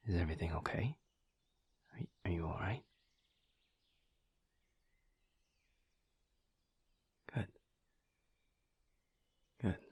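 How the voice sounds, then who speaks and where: A man playing a teasing, guarded lover in an intimate audio roleplay, speaking in a husky, breathy murmur with hesitant pauses. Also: low-pitched, darkish tone, heavily acted. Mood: guarded, concerned.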